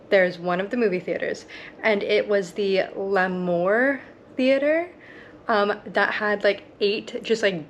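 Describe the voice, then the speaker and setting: A woman chatting casually to a vlog, informal and full of gushing emphasis. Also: medium pitch. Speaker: travel vlogger. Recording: very noisy travel vlog audio.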